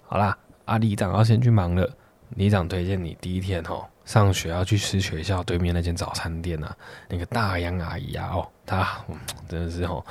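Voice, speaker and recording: Man playing a low-voiced, folksy Taiwanese village chief. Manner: folksy, nagging, sentence-final particles. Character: kindly, fussy male village chief, chatty and self-satisfied. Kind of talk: comic radio play.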